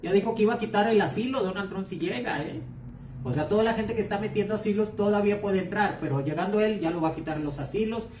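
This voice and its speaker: A woman's voice: mid-range voice, fast talker, a little mellow. A Mexican woman reading fortunes in a casual live stream, blunt and outspoken, scolding her listeners. She sits back from the phone in an echoey room with a faint hum behind her. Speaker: psychic and tarot reader. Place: home room, indoors. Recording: echoey room, far from mic.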